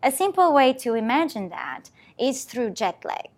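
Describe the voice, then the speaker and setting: A woman's voice: high-pitched, sing-song, moderate pace. A woman scientist from Portugal, explaining her research step by step in a presentation talk. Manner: explanatory, walking through an experiment step by step.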